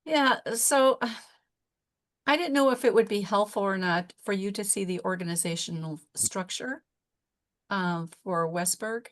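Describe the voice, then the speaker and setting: A woman informally presenting her organization on a work call, hesitating and pausing often. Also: mid-range voice.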